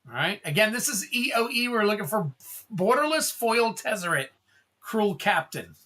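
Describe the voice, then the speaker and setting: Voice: medium pitch, sing-song, normal speed, pushed and bright. A livestream host opening card packs, chatting casually, calling out card names and thanking viewers in a rambling way.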